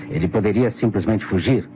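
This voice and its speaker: Man acting cornered Brazilian lawyer, defensive, old radio drama, lowish lively voice, muffled recording with faint noise. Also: normal speed, natural voice. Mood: confessing. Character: defensive male lawyer, confessed accomplice in a prank.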